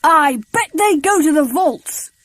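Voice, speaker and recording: A woman's voice: high-pitched, animated, a little slow, no echo. A woman playing eager, exclamatory children in an adventure audiobook. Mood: excited. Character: excitable adventurous children, boys and girls.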